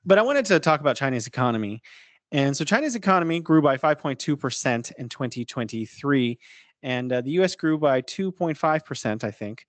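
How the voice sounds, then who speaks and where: A man's voice: medium pitch, expressive, a little fast, dry recording. A man hosts a podcast interview in a mid-range voice, friendly and a little hesitant, with plenty of fillers. The recording is dry and close, with no room sound. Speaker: podcast host. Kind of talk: interview hosting.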